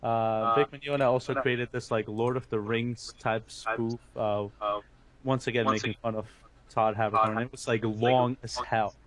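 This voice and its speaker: A man's voice: mid-range voice, little pitch movement, normal speed, fairly bright tone, low-quality recording. Chatty man hosting a casual podcast. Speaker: podcast host.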